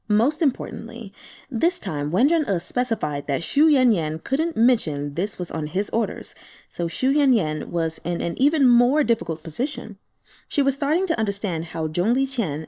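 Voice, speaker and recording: A woman reading a novel aloud as an audiobook narrator, with expressive storytelling delivery. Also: mid-range voice.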